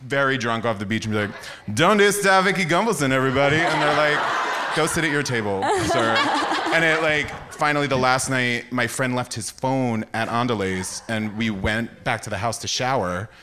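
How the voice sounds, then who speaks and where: An American man, a guest on a live podcast, telling casual comic stories in an animated, slangy way. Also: medium pitch, moderate pace. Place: theater stage before live audience. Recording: noisy live stage recording, clicks.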